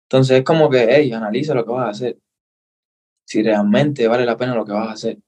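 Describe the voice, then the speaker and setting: A man's voice: mid-range voice, steady tone, fairly quick, darkish tone, breathy edge. Earnest Cuban man sharing his story. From Cuba. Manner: earnest, repeats himself, says brother. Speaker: guest. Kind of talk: casual interview.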